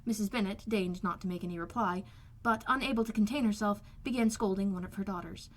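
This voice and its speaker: A woman's voice: medium pitch, fast. A woman reading a classic novel aloud as scripted audiobook narration. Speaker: amateur audiobook reader.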